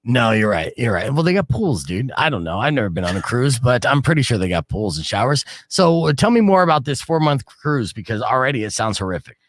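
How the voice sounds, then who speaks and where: Lively radio DJ, animated man. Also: a little fast, hardly any pauses, dry recording. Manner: animated storyteller, jumps loud and high when excited. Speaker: radio DJ and host. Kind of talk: casual radio show banter. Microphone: studio microphone.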